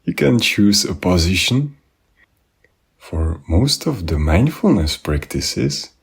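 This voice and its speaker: A man leading a guided meditation, giving gentle, unhurried instructions with pauses. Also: low voice, vocal fry, breathy edge. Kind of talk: guided body scan meditation.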